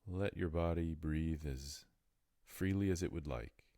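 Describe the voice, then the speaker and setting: Very deep-voiced man guiding meditation. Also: mellow, dry recording, studio quality. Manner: soothing, measured, deliberate phrasing with pauses. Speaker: meditation guide. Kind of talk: guided meditation.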